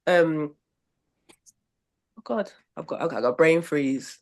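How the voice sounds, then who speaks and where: A client with a Birmingham accent on a coaching video call, flustered and quick. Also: mid-range voice, expressive. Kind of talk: casual chat.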